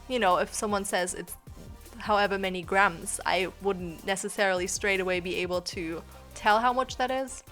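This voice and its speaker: A woman's voice: medium pitch, sharp tone. Chatty young German woman. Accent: German, with American and British influences. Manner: rambling, chatty, laughs often. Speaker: gaming channel host. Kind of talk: casual chat.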